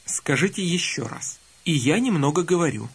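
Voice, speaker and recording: A man guides listeners through a scripted language lesson in a calm, instructive tone. His voice is a little husky and slightly higher than most men's, with lively rises and falls. It sounds like a clean studio voice-over. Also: normal speed.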